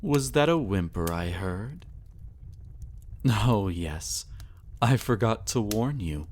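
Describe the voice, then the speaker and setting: Man playing a deep, slow, possessive vampire. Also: lively intonation, darkish tone. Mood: savoring, seductive. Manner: slow, commanding, condescendingly tender purr. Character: sadistic, possessive male vampire, silky and menacing. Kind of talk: intimate roleplay audio drama.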